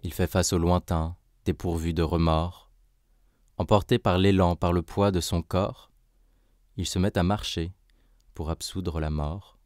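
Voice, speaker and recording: A man with a very deep voice gives a solemn poetry recitation. He takes his time, with slow, measured and declamatory phrasing. The recording is very dry and close, with no room around the voice. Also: fairly even intonation, a little mellow, no room sound. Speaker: poetry reader.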